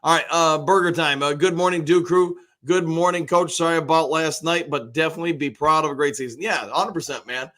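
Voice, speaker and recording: An American man with a slightly hoarse voice, chatting in a rambling, conversational way as he hosts a casual live sports fan show. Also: on the high side, a little fast, hardly any pauses, fairly bright tone. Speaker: sports show host, basketball coach and realtor.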